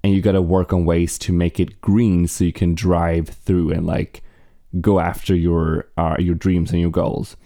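Deep-voiced Swedish man, earnest podcast talk. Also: flat delivery, unhurried, mellow, no echo, clean studio sound. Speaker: podcast host and actor. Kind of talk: casual solo podcast monologue.

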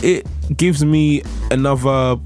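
A man's voice: mid-range voice, slow pace. A young man, an MC raised in London by Nigerian parents, talking casually about his music with many fillers. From Nigerian parents, grew up in London. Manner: relaxed, chatty, fillers and "like". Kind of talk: casual interview talk.

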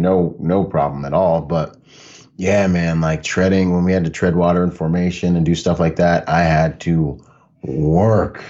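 A laid-back man from the Bahamas talks with a very deep voice, telling loose stories as a podcast guest. He laughs easily and keeps it casual. The audio is dull and lo-fi. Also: darkish tone. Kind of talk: casual conversational storytelling.

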